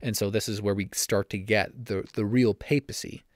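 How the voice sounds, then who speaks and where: A young man hosting a solo church-history podcast from home, slightly raspy and talking fast with frequent self-corrections. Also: fairly low pitch, fairly even intonation, no echo, clean studio sound. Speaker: podcast host. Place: home room.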